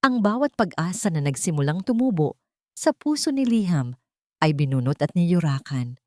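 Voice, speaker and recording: A woman's voice: on the low side, big pitch swings, moderate pace, mellow, dry recording, studio quality. A woman with a Filipino accent reading a dramatic romance story as a scripted narration.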